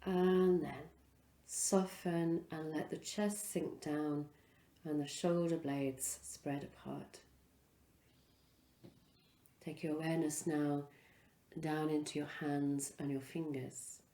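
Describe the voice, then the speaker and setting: A woman leading a guided relaxation as a yoga instructor, speaking in an unhurried, soothing way with pauses between phrases. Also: low voice, level tone, warm tone, roomy sound.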